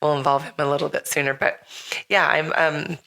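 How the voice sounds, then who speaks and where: A middle-aged woman hosting a chatty podcast, her voice low and gravelly with a lingering rasp from illness. Her tone is bright and forward, and she talks in a loose, rambling way full of fillers and restarts. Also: little pitch movement, fairly quick. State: slightly raspy from recent illness. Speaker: podcast host. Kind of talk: casual chat.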